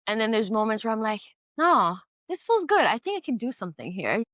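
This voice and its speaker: A woman's voice: fairly high pitch, very animated, a little fast, a bit bright, dry recording, clean studio sound. A woman in her twenties, originally from Iran, talking casually and candidly as a guest about her life, with frequent fillers and restarts. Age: 20s. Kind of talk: casual conversation.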